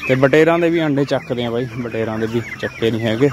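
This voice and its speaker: A man's voice: mid-range voice, fast. A young man with a Punjabi accent from India, giving casual, informal vlog commentary to viewers on a phone at a poultry farm. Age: young adult. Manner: informal, addresses viewers as friends. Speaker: farm vlogger. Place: poultry farm sheds and yard. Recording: noisy farm with clucking birds. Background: chickens clucking, birds.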